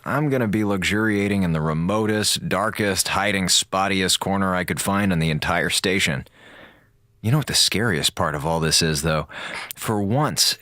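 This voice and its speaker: An actor playing a wry, chatty slacker communications officer keeping an audio log in a space station radio drama, with melodramatic sarcasm. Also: male voice, low voice, fairly bright tone, dry recording, studio quality, heavily acted. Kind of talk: radio drama acting as a conversational monologue.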